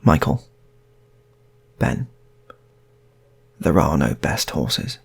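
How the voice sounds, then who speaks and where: A man telling a story, voicing a stubborn, delusional man who speaks in terse, flat denials. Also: very deep voice, drawn-out words, gravelly, breathy edge, pitched below the performer's own voice, full character voice. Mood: solemn.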